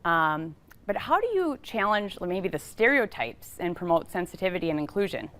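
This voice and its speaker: Lower-pitched female TV host. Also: sing-song, normal speed, a bit bright. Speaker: TV show host, interviewer. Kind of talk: scripted intro and interview questions.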